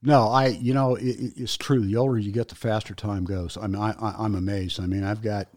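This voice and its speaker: An older man, co-hosting a casual chat podcast, self-mocking and halting with frequent restarts. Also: lowish voice, fairly even intonation, a little mellow, dry recording, studio quality. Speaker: podcast co-host.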